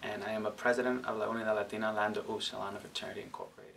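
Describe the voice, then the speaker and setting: A young man speaks as a student in an interview, choosing formal words with care. His voice is crisp-edged and bright with noticeable fry. The mic is distant, with faint background noise. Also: fairly low pitch, level tone, racing. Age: young adult. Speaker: college student. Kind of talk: measured interview opinion.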